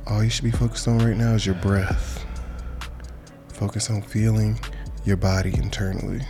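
A man with a lowish voice leads a guided meditation. He speaks informally and encouragingly, like someone talking plainly to listeners. Music plays clearly behind his voice. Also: flat delivery, moderate pace. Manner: casual, colloquial, encouraging.

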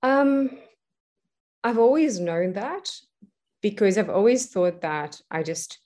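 A woman's voice: mid-range voice, expressive, slow pace, warm tone. A young adult woman being interviewed as an entrepreneur, reflecting aloud with many fillers and restarts. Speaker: guest, entrepreneur. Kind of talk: casual interview answers.

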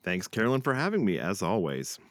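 A man's voice: lowish voice, very animated, brisk, dry recording, clean studio sound. A man hosting a public radio program and chatting as a guest, wry and self-deprecating. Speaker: radio host and reporter. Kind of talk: radio broadcast intro and interview.

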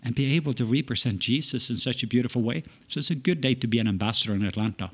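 A man's voice: medium pitch, racing, breathy edge. A middle-aged man from Norway, a ministry leader chatting in an interview, enthusiastic, laughing often and restarting sentences. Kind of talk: casual interview chat.

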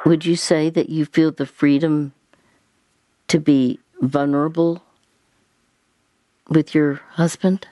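Slow, halting older woman radio host. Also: sits low, steady tone, warm tone, no echo. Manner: slow, halting, many pauses and fillers. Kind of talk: gentle counseling conversation.

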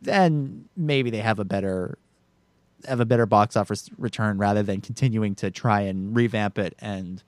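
Low-voiced man thinking aloud on a podcast. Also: moderate pace, no room sound. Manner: hesitant, repeating and rephrasing his words as he thinks aloud. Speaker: podcast co-host. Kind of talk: casual film discussion.

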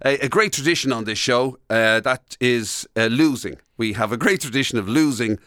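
A middle-aged Irish man hosting a radio show, chatting casually in a meandering, halting way. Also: medium pitch, fairly bright tone, no room sound, clean studio sound. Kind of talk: casual bantering chat.

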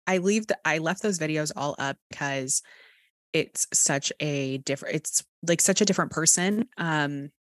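A woman's voice: deep voice, moderate pace, no echo, clean studio sound. A woman with a Texas accent hosting an interview, talking candidly and encouragingly, with the odd casual swear. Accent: Texan. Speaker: podcast host.

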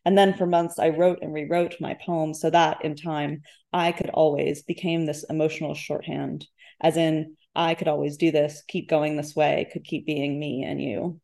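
A woman author reading literary first-person fiction aloud in measured, deliberate phrases. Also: low-pitched, level tone, creaky, mellow. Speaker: debut author, guest.

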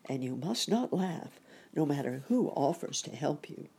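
A kindly gentleman rabbit from a children's storybook, voiced by a woman. He is courteous and wise, gently instructive, with a low, creaky voice. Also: lively intonation, a little slow, heavily acted. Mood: gently chiding.

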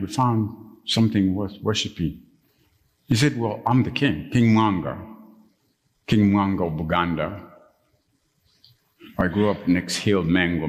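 A middle-aged man from Uganda preaching a sermon as pastor, telling stories and jumping up to high, emphatic peaks when stirred. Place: church. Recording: long reverb of a church.

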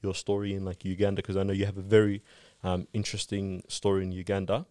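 A man's voice: low-pitched, fast, no echo. A man born in Somalia, hosting a casual interview podcast and talking loosely with lots of fillers. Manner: relaxed, filler-heavy, says "like" and "type of thing" often. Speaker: podcast host.

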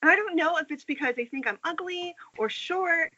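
A woman's voice: very high-pitched, moderate pace, bright, forceful tone. A woman reading a dramatic part in an amateur screenplay table read over an internet call. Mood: dejected, whiny. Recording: dull, lo-fi internet call audio.

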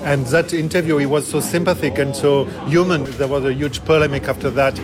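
Halting French-accented man, flat delivery. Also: on the high side, music in the background. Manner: halting, searching for words with many fillers. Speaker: interviewee. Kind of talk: interview answers in second language.

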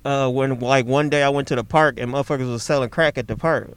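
Give me a lively young man telling stories with acted-out voices. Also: mid-range voice, fast, clean tone, dry recording. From Detroit area, Michigan. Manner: animated storyteller, acts out voices, laughs often. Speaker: comedian guest. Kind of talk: casual interview chat.

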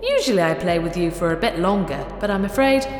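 A woman's voice: lowish voice, animated, fairly quick, lightly acted. A woman playing a playful, menacing artificial intelligence in a science fiction audio drama, with childlike, theatrical taunting. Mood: smug, gleeful. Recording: echoey, long reverb, music behind.

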